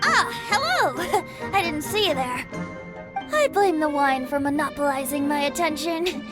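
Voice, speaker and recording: Game casting: boyish tipsy bard, very high voice, grandiose and declamatory, swooping delivery. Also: female voice, big pitch swings, normal speed. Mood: tipsy delight. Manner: flowery, declamatory phrasing. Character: tipsy, theatrical young male bard, playful and grandiose. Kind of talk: video game character acting. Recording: clean studio voice over game music.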